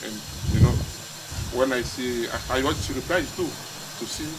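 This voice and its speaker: A man from the Democratic Republic of Congo, answering interview questions haltingly as he searches for English words. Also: mid-range voice. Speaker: presidential candidate. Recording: loud background noise.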